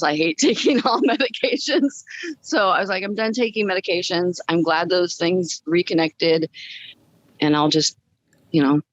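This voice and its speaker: A woman's voice: low-pitched, dull audio. A woman guest telling her personal story in a casual, upbeat way during a podcast interview. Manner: upbeat, chuckles as she talks.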